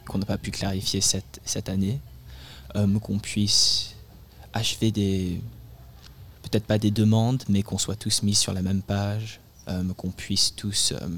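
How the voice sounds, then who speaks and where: An eighteen-year-old man speaks earnestly, with a lowish voice and frequent hesitations. A faint background noise runs under his speech. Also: a bit bright. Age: teenager. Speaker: guest, climate activist. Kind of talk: radio interview.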